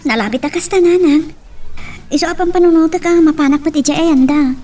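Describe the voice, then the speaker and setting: Concerned lady of the house, played by a woman, high female voice, Ilocano Filipino accent, radio drama, slightly off-mic. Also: fairly quick, dark tone, lightly acted. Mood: worried. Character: mayor's wife, a concerned, proper mother. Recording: slightly distant microphone.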